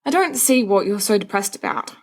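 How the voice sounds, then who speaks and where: A woman's voice: medium pitch, lively intonation, brisk. A woman voicing a sensible teenage schoolboy, earnest and exasperated as he reasons, in a storybook reading. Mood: dry, comforting.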